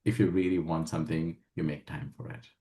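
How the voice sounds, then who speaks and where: A man, answering interview questions as a communication trainer in a measured, emphatic way. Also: rumbling low, fairly even intonation, mellow. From India. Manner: measured and emphatic, with frequent "uh" fillers. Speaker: guest, communication skills trainer.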